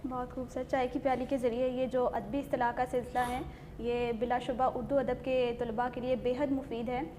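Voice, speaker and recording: A woman hosts a literary talk. Her voice is high-pitched and her manner is polite, formal and appreciative. The room rings with reverb, and there is faint background noise. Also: flat delivery, moderate pace, mellow. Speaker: programme host. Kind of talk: hosting a literary discussion.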